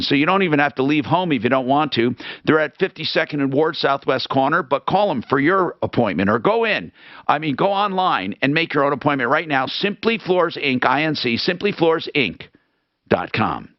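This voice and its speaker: A man hosting a talk radio show, helping callers and reading ads in a brisk, salesman-like way. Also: on the high side, dry recording, dull audio. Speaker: radio host. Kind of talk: talk radio with live reads.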